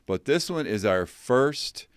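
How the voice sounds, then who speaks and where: A man's voice: medium pitch, lively intonation, slow, clean tone, no room sound, clean studio sound. A man hosting a tourism podcast over a video call, asking questions in a hesitant, self-correcting way. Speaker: podcast host.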